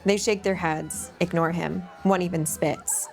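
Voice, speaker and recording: A woman hosting a table read, chatting briskly and then reading stage directions aloud. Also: fairly low pitch, fairly even intonation, vocal fry, a little mellow, faint music behind. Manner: brisk, upbeat, laughs easily. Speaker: host and script narrator.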